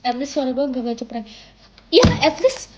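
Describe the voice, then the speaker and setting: A woman's voice: sits high, big pitch swings, normal speed, noisy background. A young woman, chatting casually and teasing on a livestream. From Indonesia. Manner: playful, teasing, self-mocking banter. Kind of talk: casual chat. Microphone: phone mic. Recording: dull lo-fi phone stream audio.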